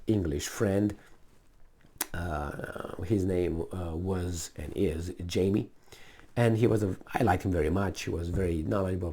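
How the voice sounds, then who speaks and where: A man's voice: low-pitched, mellow. A man with a Romanian accent gives casual, unscripted political commentary in a blunt, emphatic, rambling way full of asides and repetition.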